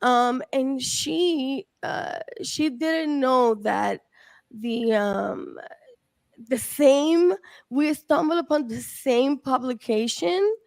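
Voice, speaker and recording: A woman from the Dominican Republic on a streamed panel, talking casually and haltingly with many fillers and restarts. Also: sits high, creaky, no echo. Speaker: research team member.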